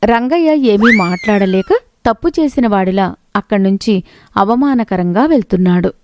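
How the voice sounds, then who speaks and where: Mid-pitched woman narrating a moral tale. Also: lively intonation, normal speed, noisy background. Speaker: storyteller. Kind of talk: scripted story narration.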